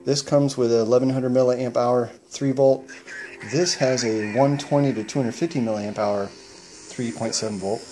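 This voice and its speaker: A man's voice: mid-range voice, fairly even intonation, normal speed, warm tone, a little hiss or hum. A man casually explaining his home-built gear in a plain, matter-of-fact way, recorded indoors at home. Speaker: paraglider showing his gear. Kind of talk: casual product demo.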